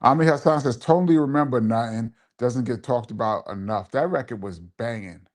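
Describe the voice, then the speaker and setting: A man hosting a live stream as a DJ, chatting casually as he reads out viewer comments and reminisces, giving shout-outs and repeating phrases for emphasis. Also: medium pitch, moderate pace.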